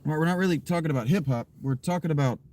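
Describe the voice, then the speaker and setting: A man's voice: on the high side, very fast, warm tone. A man streaming and chatting with viewers in a joking, rambling way, swearing freely. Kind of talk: casual chat.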